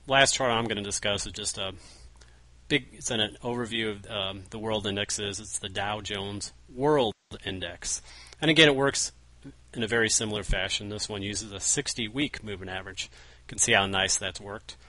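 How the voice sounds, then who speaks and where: A man with a bright, slightly low voice talks through market charts in a casual way. He restarts phrases and fills gaps with small hesitations. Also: normal speed, rough recording. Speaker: newsletter market analyst co-host. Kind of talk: market commentary over charts.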